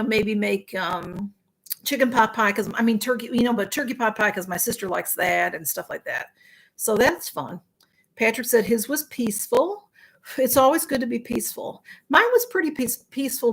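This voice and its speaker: A woman's voice: medium pitch, vocal fry, fairly bright tone. A tired middle-aged woman, chatting casually on a livestream, rambling with fillers and greeting viewers by name. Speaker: livestream host. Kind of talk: casual chat with live viewers.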